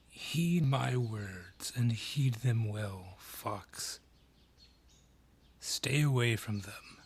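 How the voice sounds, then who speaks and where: A man playing a haughty, sly dryad who rules a forest, taunting and condescending in a breathy, husky voice, in a fantasy audio roleplay. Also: mid-range voice. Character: haughty, sly male dryad ruling a forest, menacing and mocking.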